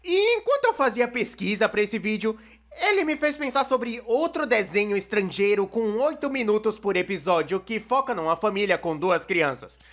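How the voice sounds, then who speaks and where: A man's voice: high voice, expressive, moderate pace, a bit bright. A man with a Brazilian accent dubbing a casual, wry young storyteller in scripted video commentary. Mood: wry, incredulous. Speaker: dubbed narrator of an animated opinion video.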